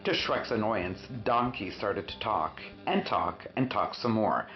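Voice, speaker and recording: A man reading a children's storybook expressively, with dramatic emphasis and drawn-out words. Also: fairly low pitch, lively intonation. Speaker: storyteller, host of a read-aloud show. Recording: roomy, muffled sound with faint music.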